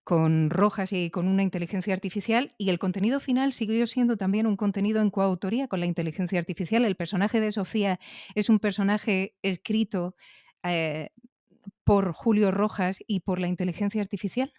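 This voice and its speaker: A woman with a Spain accent conducts a conversational podcast interview in a dry space with no room echo. Her voice sits at a medium pitch, and she builds her questions deliberately, with curiosity. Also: a little mellow.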